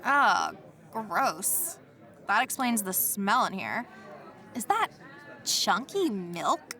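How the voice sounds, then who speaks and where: A woman's voice: mid-range voice, very animated, extremely slow, bright, forceful tone, full character voice. A woman playing a brash, vain young social media streamer in an audio comedy, with a dramatic, dismissive, slangy delivery.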